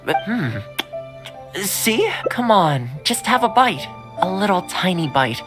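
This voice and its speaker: An actor playing a friendly, patient young man in a video game, chatting gently over game music. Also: high voice, big pitch swings, slow, exaggerated voice. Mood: gentle coaxing. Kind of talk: game dialogue acting.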